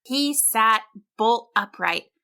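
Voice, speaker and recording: A woman reading a scripted children's story as a storyteller, with an expressive, deliberate delivery. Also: fairly high pitch, vocal fry, smooth. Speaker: storyteller, podcast host.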